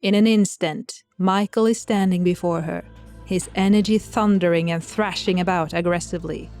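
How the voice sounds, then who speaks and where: A woman's voice: lowish voice, steady tone, normal speed, a little mellow, no echo, soft background music. A woman giving scripted narration as the storyteller of an audio drama.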